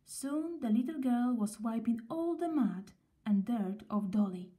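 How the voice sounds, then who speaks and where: A woman reading a children's story aloud in a gentle, expressive way, with pauses between phrases. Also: a little high.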